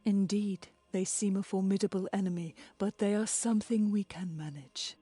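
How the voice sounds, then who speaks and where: Actress as a dignified fantasy game queen, mellow and dry, grave concern for her people, faint music underneath. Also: mid-range voice, steady tone, moderate pace, breathy edge, no room sound, natural voice. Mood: composed, concerned. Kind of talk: dramatic video game voice acting.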